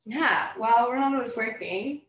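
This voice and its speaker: A woman's voice: a little high, lively intonation, normal speed, a bit bright, highs cut off. A young woman from Canada, a co-founder giving a casual, chatty talk to students. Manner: chatty, laughs often. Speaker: guest speaker, co-founder. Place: university classroom. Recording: echoey classroom, mic from afar.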